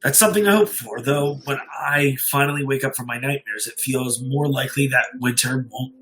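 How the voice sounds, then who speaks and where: A man playing a haunted, fatalistic survivor giving a grave, deliberate first-person horror account with dramatic pauses. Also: mid-range voice, a bit bright, pitched below the performer's own voice, natural voice, poor audio. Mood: foreboding.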